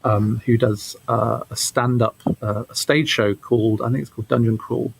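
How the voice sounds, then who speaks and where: A man giving casual interview answers as a game developer, halting and often repeating his words. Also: medium pitch, fairly even intonation, gravelly, a little hiss or hum.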